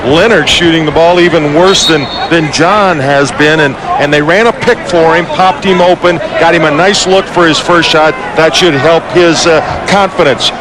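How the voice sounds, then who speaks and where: High-voiced male basketball analyst in loud arena. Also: normal speed, few pauses. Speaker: basketball color commentator. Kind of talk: live sports analysis. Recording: noisy 1990s arena broadcast, loud crowd. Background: roaring basketball crowd and court noise.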